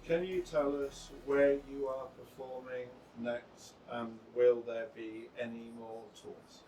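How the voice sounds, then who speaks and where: Male interviewer, mid-pitched, posing questions from away from the mic, with noticeable echo. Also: flat delivery, slow pace, dark tone, distant mic, slight background noise. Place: outdoors on a sea front. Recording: echoey, off-mic, faint music behind.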